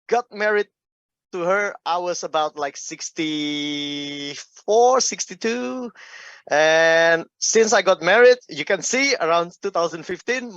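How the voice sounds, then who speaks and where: A middle-aged man from Indonesia with an Indonesian accent, telling his personal story in an informal, halting, conversational talk. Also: fairly high pitch, a bit bright, dry recording, lo-fi sound. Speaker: speaker giving a personal health testimony.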